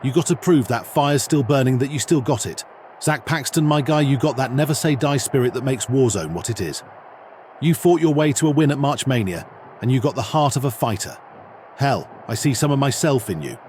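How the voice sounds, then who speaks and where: A man's voice: mid-range voice, lively intonation, fairly quick, darkish tone, slight background noise, natural voice. A man playing a swaggering male wrestling brand boss who talks in a blunt, slangy, laid-back way during a promo.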